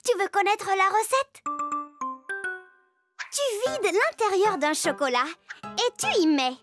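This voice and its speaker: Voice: very high-pitched, animated, slow, full character voice. A performer voicing a chatty, mischievous little girl who tells stories straight to the listener in a children's cartoon, with music behind. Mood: playful, chatty. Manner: exclamatory, playful, addresses the listener directly. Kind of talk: children's cartoon storytelling to the viewer.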